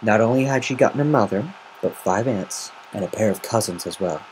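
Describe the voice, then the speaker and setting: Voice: medium pitch, expressive, fairly quick, a little mellow. An amateur reader giving an earnest, semi-dramatic narration of a fan fiction story on a noisy home recording.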